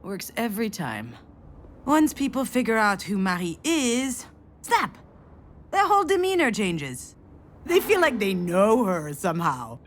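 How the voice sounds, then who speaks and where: An actress playing an overwhelmed, sardonic adult daughter in a video game, speaking in a halting, expressive way. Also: female voice, medium pitch, slight background noise. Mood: bitter, sardonic. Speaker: game character, artist's assistant. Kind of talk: naturalistic video game acting.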